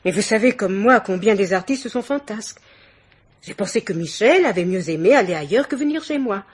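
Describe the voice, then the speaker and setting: An actress playing an imperious, chattering middle-aged society hostess in a theatrical, exclamatory French radio mystery play. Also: female voice, medium pitch, expressive, fairly quick, husky. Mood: affronted.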